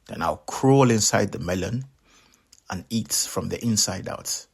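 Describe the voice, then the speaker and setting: A man's voice: lowish voice, a little slow, gravelly, slightly rough, exaggerated voice. A man voicing a sly, greedy, easily exasperated male trickster spider in a children's story, scheming and exclamatory.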